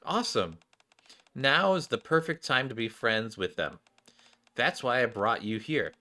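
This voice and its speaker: A man's voice: medium pitch, animated, a little slow, sharp tone. A man voicing a confident, encouraging woman mentor from a game, reassuring and direct.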